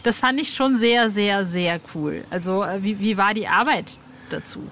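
A woman's voice: medium pitch, normal speed, a bit bright. A woman hosting a casual podcast interview, talking informally and enthusiastically like a fan with youthful slang.

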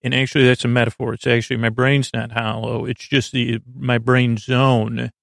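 A man hosting a silly bedtime podcast, talking in a meandering, halting way with frequent stammers and tangents. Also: mid-range voice, little pitch movement, creaky, fairly bright tone, dry recording, clean studio sound. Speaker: podcast host.

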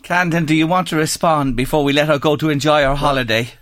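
A man's voice: fairly high pitch, fairly even intonation, fast, a bit bright, dry recording. An older man with an Irish accent hosting a conversational live radio interview. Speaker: radio host.

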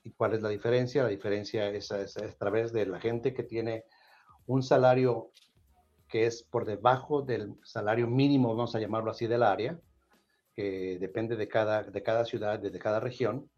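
A man's voice: fairly low pitch, fairly even intonation, moderate pace, a little mellow, muffled recording. A man from Mexico with a Mexican accent hosting a podcast, explaining things casually and repeating himself with a frequent "¿verdad?". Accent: Mexican Spanish. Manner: repeats words, adds "¿verdad?" often. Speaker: podcast host.

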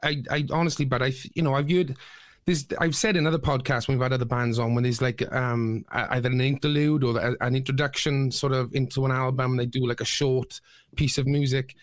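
A man's voice: medium pitch, fairly even intonation, brisk, dry recording, studio quality. A man hosting a podcast, enthusiastically praising a guest's album in casual chat, peppered with "dude" and "mate". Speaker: podcast host.

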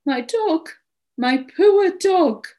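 A woman voicing a distraught, indignant story character, shrill, accusatory and pleading over her lost dog. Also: big pitch swings, unhurried. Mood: tearful, reproachful.

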